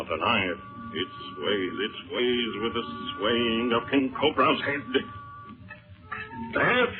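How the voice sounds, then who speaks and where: An actor playing a menacing, cryptic priest of a jungle god in a 1930s radio serial, speaking in solemn, formal pronouncements. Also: male voice, lowish voice. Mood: incantatory. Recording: tinny, band-limited 1930s radio recording.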